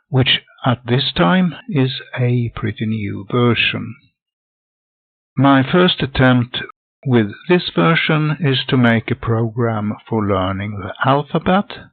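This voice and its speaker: An older man talks slowly and methodically through a software tutorial, his voice gravelly and husky. The recording is dry and tinny, like a phone line. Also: mid-range voice. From Sweden. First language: Swedish. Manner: methodical, plain step-by-step explaining. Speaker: hobby game developer.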